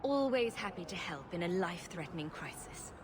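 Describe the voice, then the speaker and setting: A woman's voice: on the low side, animated, fairly quick, lightly acted. An actress playing a humorous, sarcastic female rogue hero with a dry way of speaking. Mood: wry. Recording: game audio over ambient noise.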